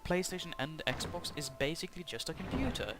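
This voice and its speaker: A man from Norway, talking in a halting, self-conscious way as he gives casual commentary over a video game. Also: on the high side, very animated. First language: Norwegian. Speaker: gaming channel host. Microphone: cheap headset mic. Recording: cheap headset mic with loud background noise.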